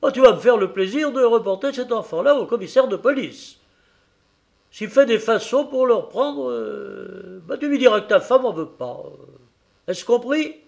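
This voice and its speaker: Man putting on a shrill scolding bargewife. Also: animated, moderate pace, gravelly, full character voice. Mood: angry scolding. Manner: shrill, scolding, shouting in bursts. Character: scolding bargeman's wife, middle-aged, fierce, gruff.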